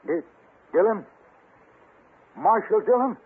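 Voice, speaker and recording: A man's voice: high-pitched, expressive, takes it slowly, slightly rough, narrow, tinny audio, exaggerated voice. A man playing a nervous, evasive American frontier townsman in a radio western, stammering and flustered. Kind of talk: old-time radio western drama acting. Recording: thin, hissy old radio broadcast recording.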